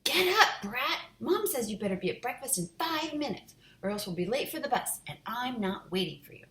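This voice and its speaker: A woman voicing a bratty young girl who mocks her little brother, shrill and teasing, in a roomy echo. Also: animated, fairly quick, fairly bright tone, distant mic, a little hiss or hum. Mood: mocking. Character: bratty mocking young girl, big sister.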